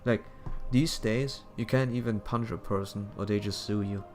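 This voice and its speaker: A man giving casual commentary as a streamer, halting and repeating his phrases as he thinks aloud. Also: lowish voice, fairly even intonation, a little mellow, soft background music. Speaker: streamer reacting to another stream.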